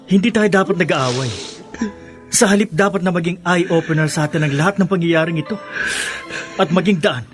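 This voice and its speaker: An actor playing an earnest, peacemaking older brother in a Filipino radio drama, speaking formally and heartfelt with a Filipino accent. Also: male voice, high voice, normal speed, long pauses, close to an everyday voice. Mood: pleading, conciliatory. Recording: music bed under the voice.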